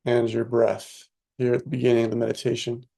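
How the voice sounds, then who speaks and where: A man leading a guided meditation as a teacher, speaking soothingly in short, unhurried phrases with long pauses. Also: medium pitch, completely flat delivery, gravelly, mellow, poor audio.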